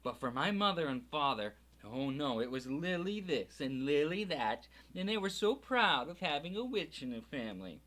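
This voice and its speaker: A man voicing a shrill, resentful middle-aged woman who rants on the edge of tears in an audiobook reading. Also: big pitch swings, moderate pace, few pauses, a bit bright. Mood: shrill ranting. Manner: shrieking, ranting.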